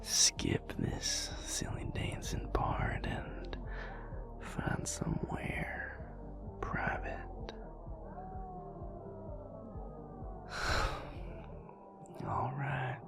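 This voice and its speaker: A man playing a gruff but tender vampire boyfriend in an intimate audio roleplay, murmuring breathily and close to the listener in a gravelly drawl. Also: medium pitch, wildly swooping pitch, very slow, pauses often, in a whisper, full character voice. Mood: teasing, intimate. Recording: music playing behind the voice. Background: loud club music.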